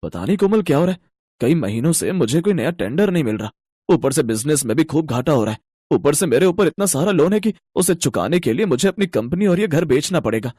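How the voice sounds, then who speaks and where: A man's voice: medium pitch, darkish tone, slightly rough, no room sound, clean studio sound. A man voicing an earnest, troubled businessman husband in a cartoon moral story, with a dramatic, plaintive manner.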